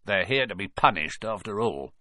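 A man's voice: fairly low pitch, lively intonation, normal speed, bright, forceful tone. A man reading an audiobook aloud, voicing a spiteful, gleeful old male caretaker with a sneering manner. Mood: cold. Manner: sneering, voice cracking with glee.

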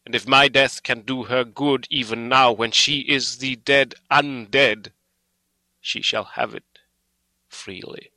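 A man voicing a grave, earnest old foreign doctor in a dramatic audiobook, speaking in solemn, halting, emphatic phrases. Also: medium pitch, pushed and bright, dry recording, pitched above the performer's own voice, rough recording. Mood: pitying, pleading. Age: older. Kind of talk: dramatic audiobook character reading.

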